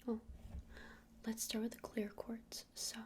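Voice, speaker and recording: A woman whispering softly and breathily in an ASMR roleplay as an easygoing witch shopkeeper, casual and a little hesitant. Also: on the high side, completely flat delivery, slow pace. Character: friendly, easygoing witch running a crystal shop.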